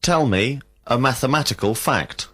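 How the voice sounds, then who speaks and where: A man reading out slow, carefully enunciated drill questions as an English teacher in a recorded lesson. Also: mid-range voice, expressive, fairly bright tone. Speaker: language teacher.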